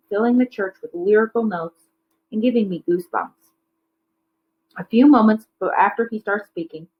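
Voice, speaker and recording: A woman's voice: mid-range voice, highs cut off. A woman narrating a children's novel aloud like a teacher, occasionally stumbling over words and correcting herself. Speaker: teacher reading a book aloud.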